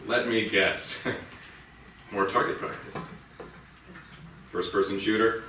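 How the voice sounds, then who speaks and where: An actor playing an aggressive, embittered police officer in a staged reading, sneering and confrontational. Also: male voice, lowish voice, fairly even intonation, takes it slowly, sharp tone. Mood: sneering, menacing. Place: theatre stage, recorded from a distance. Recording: distant mic, echoey theatre, clear noise.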